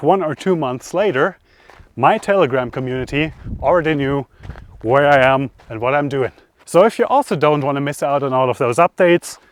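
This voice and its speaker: A man hosting a travel vlog, talking casually and conversationally to camera outdoors, often thinking aloud. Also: a little high. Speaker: travel vlogger, host. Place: outdoors by roads, lakeside and mountains.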